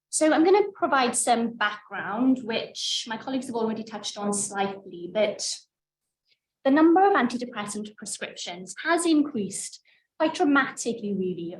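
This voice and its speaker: Soft-spoken woman giving a lecture, echoey hall, mic a ways off, bit of room hum. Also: mid-range voice, recorded from a distance. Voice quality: quiet voice. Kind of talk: academic lecture.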